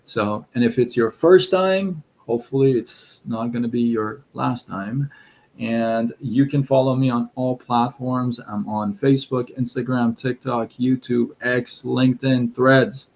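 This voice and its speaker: A middle-aged man with a Persian accent talks slowly through casual live-stream advice, his voice gravelly at the edges. He rambles easily, stopping and restarting often. His pitch sits at an ordinary middle level for a man. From Iran. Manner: relaxed, rambling, frequent fillers and restarts.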